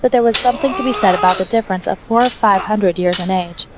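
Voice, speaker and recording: A woman's voice: medium pitch, quick pace. Woman narrating a story over noticeable noise. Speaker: fan fiction reader, narrator. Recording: noisy hobby recording, steady background noise.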